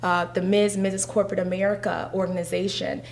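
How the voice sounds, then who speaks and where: A woman's voice: mid-range voice, little pitch movement, a little fast. A woman who is a pageant titleholder, chatting in a casual interview with lots of fillers and restarts. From Haitian descent.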